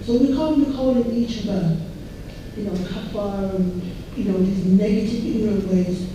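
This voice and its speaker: A woman's voice: medium pitch, normal speed. A woman making an impassioned, insistent comment from the audience, repeating herself. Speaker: audience member. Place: back of an event hall. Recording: very echoey hall, distant, noisy.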